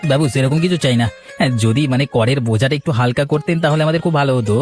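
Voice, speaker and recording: A man's voice: mid-range voice, very fast, darkish tone, faint music behind, natural voice. A man playing a humble, aggrieved young peasant farmer in a cartoon, earnest and pleading. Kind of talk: Bengali cartoon dialogue acting.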